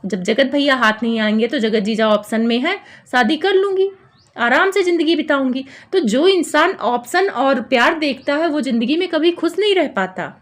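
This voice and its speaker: High-pitched woman giving opinionated gossip commentary. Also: animated, normal speed. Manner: opinionated, accusatory, repetitive. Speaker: commentary vlogger. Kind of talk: informal gossip commentary, recapping and judging a dispute.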